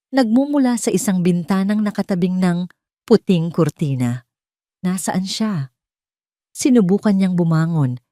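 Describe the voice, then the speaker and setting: Dramatic lower-voiced woman narrator. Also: mellow, no echo, studio quality. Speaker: audio story narrator.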